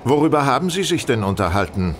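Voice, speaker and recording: An actor playing a self-assured male gentleman detective, speaking formally and precisely in a radio play. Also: sing-song, quick pace, natural voice. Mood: insistent. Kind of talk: German radio play dialogue. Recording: train rumble behind the voice.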